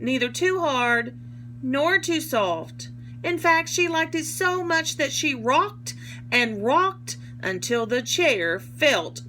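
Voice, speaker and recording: A woman with a fairly high voice reads a children's story aloud in an expressive, teacherly way. She stops to explain words simply. There is some faint background noise. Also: poor audio. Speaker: teacher reading a picture book aloud.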